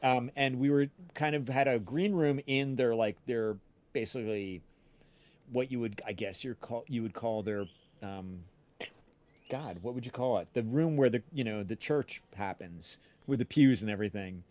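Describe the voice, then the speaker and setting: A man chatting casually on a livestream, telling anecdotes in a halting, searching way with false starts. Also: mid-range voice, a little mellow. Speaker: podcast cast member on a livestream. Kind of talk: casual chat, rambling anecdote.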